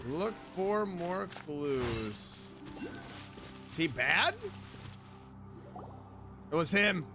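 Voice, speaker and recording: A man's voice: fairly high pitch, expressive, drawn-out words. A man streaming a video game, reacting casually and thinking aloud as he plays. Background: game music.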